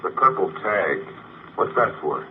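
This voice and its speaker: A persistent government investigator asks polite, probing questions in a low voice. The sound is tinny and lo-fi with a faint hiss, like a 1950 radio drama. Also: male voice, very animated, measured pace. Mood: curious. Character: inquisitive male government investigator. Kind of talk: 1950s radio drama acting.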